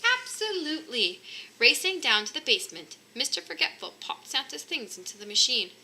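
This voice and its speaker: Female storybook reader, very bright and lively, slightly high, faint noise behind. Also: a little fast. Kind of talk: expressive children's story narration.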